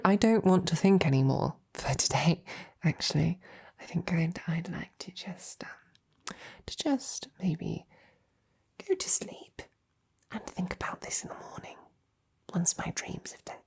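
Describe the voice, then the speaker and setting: A woman playing a loving, flustered lady knight in an intimate roleplay audio drama, speaking softly and haltingly with tender, teasing affection. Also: on the low side, exaggerated voice. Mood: tired, overwhelmed. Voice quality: soft, breathy, husky. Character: loving, flustered female royal knight courting a princess.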